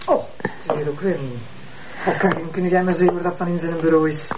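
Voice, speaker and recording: A woman's voice: lowish voice, steady tone, quick pace, close to an everyday voice. An actress playing an insistent, impatient female superior with a Flemish accent in a television crime drama. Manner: pressing, demanding. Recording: clear background room noise.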